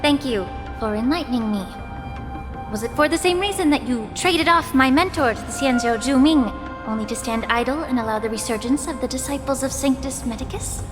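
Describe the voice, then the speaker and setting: A woman acts out a young healer from a fantasy game. Her fairly high voice is formal and poised, with polite, pointed restraint. Long reverb surrounds it, with soft music underneath. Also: fairly quick, lightly acted. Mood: politely challenging. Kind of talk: video game cutscene voice acting. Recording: reverberant hall with faint music.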